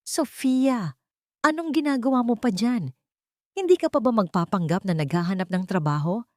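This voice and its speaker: A domineering older matriarch, put on by a woman narrator, pitched up into fretful, shrill commands. She belongs to a family melodrama, recorded dry. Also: darkish tone, no echo, lightly acted. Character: domineering, anxious older mother of a rich family.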